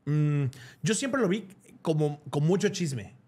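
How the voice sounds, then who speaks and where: A man's voice: on the high side, lively intonation, takes it slowly, dark tone. A young man with a Mexican accent, co-hosting a podcast in casual, slangy, jokey chat, laughing often. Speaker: podcast co-host. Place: podcast studio.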